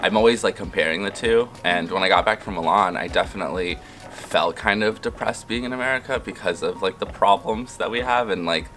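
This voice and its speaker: A man's voice: low-pitched, a bit bright. A man from Chile answering a street interview in a reflective, slightly rueful way. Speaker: passerby interviewee. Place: outdoors on a city street.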